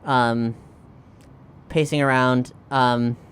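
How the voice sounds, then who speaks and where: A woman playing a tabletop role-playing game, improvising casually and thinking aloud in halting phrases. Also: a bass voice, steady tone, smooth.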